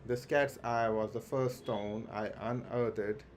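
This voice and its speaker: A man livestreaming a game, reading its dialogue aloud haltingly, with stumbles and self-corrections. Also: mid-range voice, steady tone. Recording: clearly noisy background.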